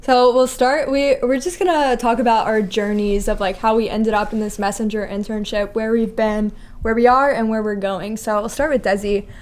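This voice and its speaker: A woman's voice: fairly high pitch, steady tone, moderate pace, hardly any pauses, a little mellow. A young woman from Virginia in her twenties, casually introducing a group chat, loose and friendly with many fillers. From Virginia, USA. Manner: friendly, loose, full of likes and ums. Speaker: ministry intern leading the chat.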